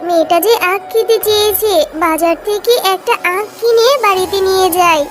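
A woman's voice: very high voice, normal speed. A woman voicing a humble, caring fox mother with an earnest, plaintive manner in a cartoon story. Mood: pleading, worried. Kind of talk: children's cartoon story dialogue. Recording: music behind the voice.

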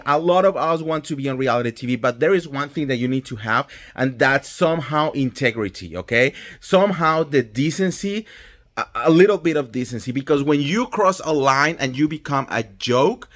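A man's voice: medium pitch, a bit bright. A man in his thirties with a Colombian Spanish accent, ranting emphatically about reality TV into a phone at home. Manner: indignant, emphatic, swears freely. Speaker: pop culture vlogger. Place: indoors at home, small room. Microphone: phone held close at arm's length.

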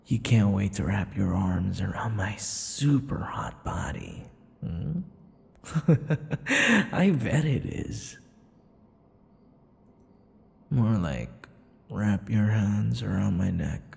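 A man's voice: lowish voice, creaky, a little mellow, full character voice. A man voicing a possessive, teasing, vain vampire captor in an intimate ASMR roleplay, theatrical and taunting, slipping between murmurs and whispers. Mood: teasing, domineering. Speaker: audio roleplay performer. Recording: long reverberant echo.

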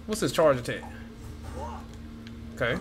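A young man reacting to gameplay from a home room, excitable and swearing freely as he talks to his viewers. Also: mid-range voice, a little slow, music in the background. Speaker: gaming YouTuber. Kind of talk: casual gameplay reaction commentary. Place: home room with gaming chair.

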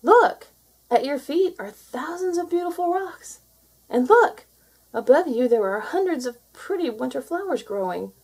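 A woman's voice: high-pitched, expressive, measured pace, darkish tone, voice pushed up. A woman voicing a small, earnest and clever male seal in a storybook, pleading and exclaiming. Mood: eager.

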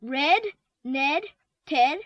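A woman's voice: high-pitched, lively intonation. A woman narrating a children's read-along book, word by word and with exaggerated care, on a dull old cassette. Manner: exaggerated, deliberate word-by-word delivery. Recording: dull, noisy 1980s cassette transfer.

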